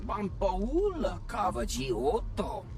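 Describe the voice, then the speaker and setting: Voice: mid-range voice, very animated, slow pace, vocal fry, music in the background, heavily acted. An actor voicing an alien video game character who talks theatrically in an invented tongue. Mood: animated.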